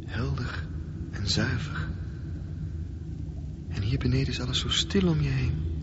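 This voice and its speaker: Radio drama lead: dreamy, wondering teenage boy played by a man, unhurried musing delivery, muffled audio with clear noise. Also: mid-range voice, natural voice. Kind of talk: radio drama acting, reflective monologue. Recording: dull, noisy old radio recording.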